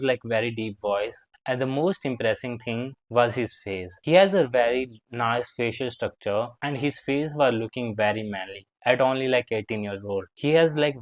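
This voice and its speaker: A man's voice: lowish voice, no echo. A man in his twenties, a fitness vlogger, telling a rambling personal story with lots of fillers and grammar slips. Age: 20s. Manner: rambling, filler-heavy, with frequent "like" and non-native grammar slips. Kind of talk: casual storytelling to camera.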